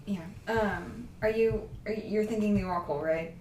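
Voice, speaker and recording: An actress as a guarded young woman in an audio drama, blunt and dryly understated, a little halting. She sounds set back from the mic in a roomy space. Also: mid-range voice, a little mellow, close to an everyday voice. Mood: subdued. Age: young adult. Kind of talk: naturalistic audio drama dialogue. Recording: roomy, distant mic, faint noise.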